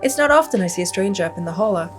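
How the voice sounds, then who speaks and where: A woman's voice: mid-range voice, lively intonation, very fast, soft background music, close to an everyday voice. A woman voicing a friendly, folksy, chatty young small-town woman in a visual novel game.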